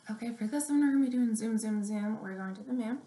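A young woman leading a story time for small children, reading aloud and giving simple instructions in a measured, deliberate way. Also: fairly high pitch, dark tone, a little hiss or hum. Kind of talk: picture-book reading and finger plays for young children. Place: small room indoors at home or office. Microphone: webcam or laptop mic at arm's length. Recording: roomy, echoing webcam sound.

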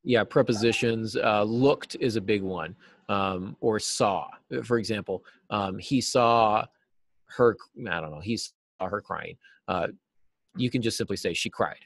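Middle-aged American male guest, lower register, explains things informally and haltingly, little room sound. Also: no room sound. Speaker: interview guest, novelist and writing teacher. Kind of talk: casual interview answers. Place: small cluttered office, on a video call. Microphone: video call.

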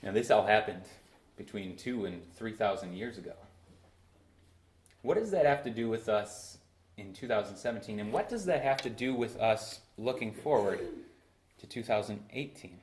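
A young man in his twenties preaching a sermon from a church pulpit, with bursts of emphasis and slow, drawn-out phrases. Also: lowish voice, animated, a little hiss or hum. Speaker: pastor. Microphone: far camera in a roomy church. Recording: echoey church room.

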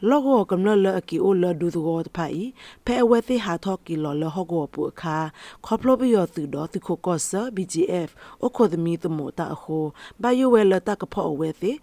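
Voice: medium pitch, expressive, moderate pace, warm tone, no room sound. A radio presenter reading scripted news.

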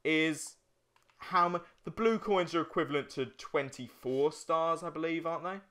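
A man's voice: on the high side, moderate pace, bright, forceful tone. A man co-hosting a let's play, giving chatty, casual gaming commentary with some swearing.

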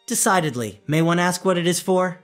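A man voicing a sly male spy who speaks softly and flatteringly, trying to win confidence. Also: high voice, brisk, soft background music, voice pushed up, lightly acted. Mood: ingratiating.

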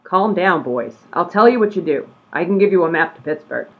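A man's voice: high voice, animated, fairly quick, vocal fry. A man playing a wily, folksy huckster in a comedy radio play, glib and colloquial. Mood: glib, helpful. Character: wily, folksy huckster, cheerfully swindling travelers. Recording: tinny, phone-like audio.

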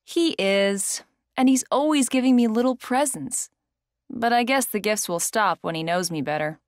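A woman's voice: fairly high pitch, normal speed, no echo, clean studio sound, lightly acted. An actress playing a fond young woman chatting in a scripted language-course dialogue. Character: fond young woman describing her boyfriend.

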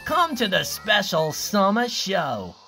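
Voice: medium pitch, huge pitch swings, takes it slowly, voice pushed up, full character voice. A storyteller voicing a pack of mean hyenas who speak in a mocking, sneering way. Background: soft music.

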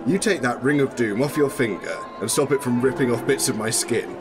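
A man's voice: mid-range voice, fairly quick, close to an everyday voice. An actor playing a wry, charming policeman and bodyguard in a radio drama, a little mock-formal. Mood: playful. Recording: music behind.

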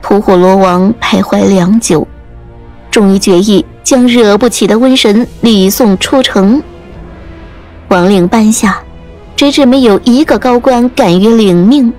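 A woman's voice: medium pitch, a little mellow. Woman narrating a story over music. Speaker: audiobook narrator. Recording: music under the voice.

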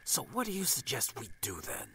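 A man's voice: medium pitch, huge pitch swings, brisk, sharp tone, slight background noise, voice pushed up. A man voicing an impatient, bossy, scheming male adventurer in an audiobook.

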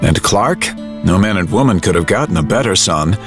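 A man narrating an audio drama in a measured, reflective storytelling style with dramatic pauses. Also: deep voice, expressive, soft background music.

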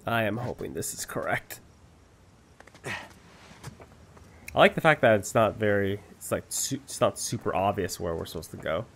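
A man's voice: on the low side, expressive, unhurried, gravelly, long pauses, fairly bright tone, a little hiss or hum. A man giving casual gameplay commentary, chatty and self-mocking, guessing aloud. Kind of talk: casual let's-play commentary.

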